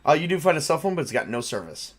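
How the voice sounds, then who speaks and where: A man's voice: fairly high pitch, big pitch swings, rapid-fire. A man casually narrating a tabletop role-playing game as game master, improvising and thinking aloud with some hesitation.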